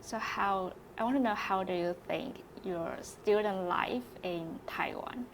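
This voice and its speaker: A woman of middling pitch hosts the interview, asking short, simple questions in English. A clear background hiss runs under her voice throughout. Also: unhurried, low-quality recording. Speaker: interview host.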